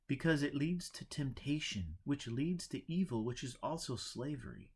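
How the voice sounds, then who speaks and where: A man's voice: medium pitch, expressive, husky, pitched above the performer's own voice. Man reading as a preachy, imperturbable monk. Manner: didactic, deliberate pronouncements. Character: imperturbable male monk teacher, preachy and teasing.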